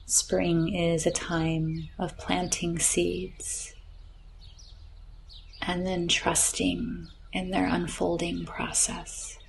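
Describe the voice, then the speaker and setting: A woman leads a guided yoga nidra practice very slowly and gently. Her voice is somewhat low and crackles with vocal fry, often softening into breathiness. Faint birdsong and nature ambience sit behind her. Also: monotone. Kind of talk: slow guided relaxation meditation. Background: chirping birds, nature ambience.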